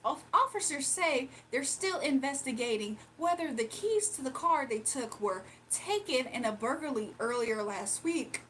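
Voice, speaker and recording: A woman putting on the voice of a stiff female TV news anchor reading a teleprompter, in a slow, measured cadence. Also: high voice, animated, fairly bright tone, slight background noise. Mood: formal.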